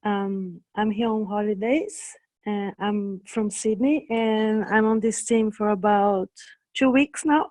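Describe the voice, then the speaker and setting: A woman from Brazil introducing herself on a video call, slow and hesitant. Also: mid-range voice, steady tone, darkish tone.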